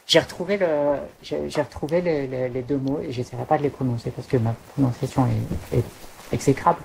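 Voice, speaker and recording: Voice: mid-range voice, brisk, gravelly, noisy background. A podcast panelist chatting casually, hesitant and often repeating words while searching for phrasing.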